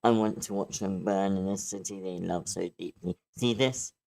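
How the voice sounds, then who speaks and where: A man playing a wounded, vengeful villain in a screenplay table read, with slow, menacing, dramatic phrasing. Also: deep voice, fairly even intonation, vocal fry, warm tone. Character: vengeful, wounded male villain running for mayor.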